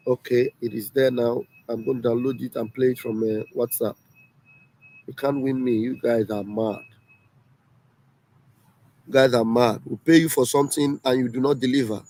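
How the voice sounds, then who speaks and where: A man's voice: mid-range voice, dark tone, low-quality recording. A man hosting a casual livestream, emphatic and repeating himself often. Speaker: livestream host. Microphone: home livestream setup.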